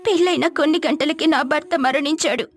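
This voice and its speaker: Woman acting a high-pitched weeping young widow. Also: brisk. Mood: tearful despair. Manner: tearful, pleading. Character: weeping young widow, pleading, devout and wrongly accused.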